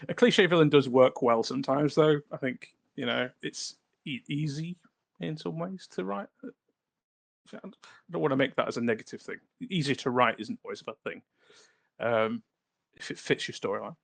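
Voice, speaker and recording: A man co-hosting a casual writing podcast, telling rambling anecdotes with many pauses and fillers. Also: medium pitch, expressive, vocal fry, no echo. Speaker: podcast co-host.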